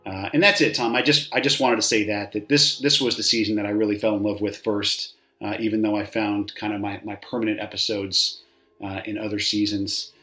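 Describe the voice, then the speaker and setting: Halting low-voiced man in a roomy space. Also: level tone, pushed and bright. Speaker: podcast listener giving a recorded contribution. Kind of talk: informal personal commentary. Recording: roomy echo, faint music underneath.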